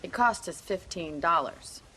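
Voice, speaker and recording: An actress with an American accent playing a plucky, sharp-tongued young ranch woman in a Western video game, forthright and teasing. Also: on the low side, animated, unhurried, a little hiss or hum. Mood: brisk, reproachful. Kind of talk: video game cutscene acting.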